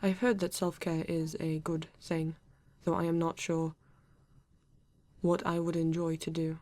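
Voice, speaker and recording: Low, even British android man acted by a woman. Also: no room sound. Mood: earnest, composed. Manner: stiff, formal, measured phrasing. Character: polite, formal male android detective partner, reserved and earnest. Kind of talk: ASMR roleplay acting.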